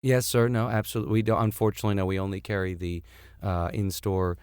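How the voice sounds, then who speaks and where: A man voicing a long-suffering male store clerk in a comic skit, deadpan and formally polite. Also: lowish voice, fast, clear, resonant tone, no echo, clean studio sound, natural voice. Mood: weary, deadpan.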